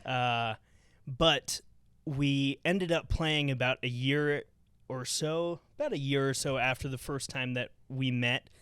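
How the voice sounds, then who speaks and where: Young guy rambling on his podcast, smooth clear voice, dry close sound. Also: mid-range voice, takes it slowly, a bit bright, no room sound. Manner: chatty, rambling with many fillers. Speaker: podcast host. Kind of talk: casual podcast chat. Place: home living room.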